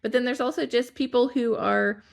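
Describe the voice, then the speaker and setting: A woman hosting a podcast conversation, speaking thoughtfully with frequent pauses and restarts. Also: fairly high pitch, creaky. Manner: thoughtful, many fillers and restarts. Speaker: podcast host. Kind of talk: reflective conversation.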